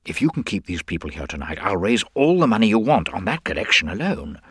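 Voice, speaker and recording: A man's voice: on the low side, big pitch swings, breathy edge, no echo, studio quality, heavily acted. A man voicing a brash, slick con man who talks in slangy, pushy bursts in a storybook reading. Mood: slick, persuasive. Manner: slangy, fast-talking, pushy.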